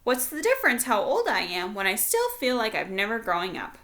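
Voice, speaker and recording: A woman's voice: on the high side, very animated, brisk, a bit bright. A woman voicing a small, whimsical, kindly old lady from a children's storybook, playful and matter-of-fact. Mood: cheerful.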